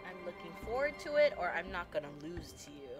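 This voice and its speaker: A woman's voice: lowish voice, big pitch swings, fast. A woman streaming a game, rambling and gushing with emotion and some swearing. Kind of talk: excited gaming stream commentary. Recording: stream with loud game music behind.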